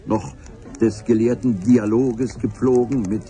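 An actor playing a grandiloquent chronicler, narrating a radio adventure in a solemn, florid, measured manner. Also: male voice, medium pitch, steady tone, a crowd in the background, natural voice. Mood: lofty, wistful.

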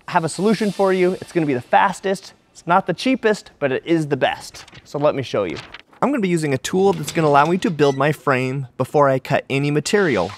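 A man's voice: on the high side, slight background noise. Plain-spoken man teaching in a workshop. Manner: plain-spoken, explains step by step with questions. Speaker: welding and fabrication instructor. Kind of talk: shop demonstration with voice-over. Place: large metal workshop.

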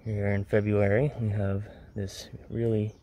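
A man giving casual, low-key narration as a wildlife vlogger filming outdoors from behind the camera. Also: flat delivery, unhurried, warm tone. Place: outdoors in woods and grassland. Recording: outdoor ambient noise.

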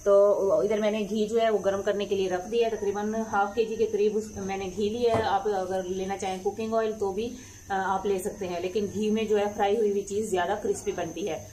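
A woman's voice: mid-range voice, steady tone, a little fast, mellow, a little hiss or hum, rough recording. A middle-aged woman hosting a cooking channel, explaining each step of a home recipe patiently. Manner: patient, instructive, homely. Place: home kitchen.

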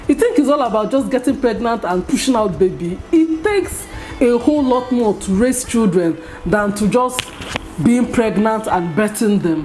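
An expressive woman with a Nigerian accent, first language Igbo, speaks straight into a close camera in a small room at home. She talks in a lively, scolding way and gestures a lot as she answers viewer-style questions. Soft music plays faintly behind her. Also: a little high. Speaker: vlogger. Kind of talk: get-to-know-me tag vlog. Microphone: camera at close range.

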